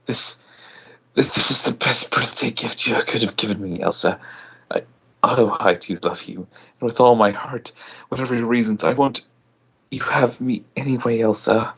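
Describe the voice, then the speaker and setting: A man plays a lovestruck young storybook princess who sounds fearful and imploring. The low, creaky voice is lifted several notes and speaks hesitantly. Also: normal speed, fairly bright tone. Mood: tearful devotion.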